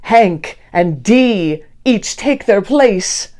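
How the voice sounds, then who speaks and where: A woman reading a children's picture book aloud expressively, with deliberate storyteller phrasing. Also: mid-range voice, expressive, darkish tone. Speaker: read-aloud host.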